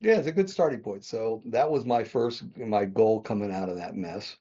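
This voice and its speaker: A man's voice: lowish voice, normal speed, mellow, breathy edge. A man in his sixties, a podcast guest, telling his business story in a rambling, self-correcting way and chuckling often. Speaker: podcast guest, real estate developer. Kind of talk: conversational interview storytelling. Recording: dull lo-fi podcast audio.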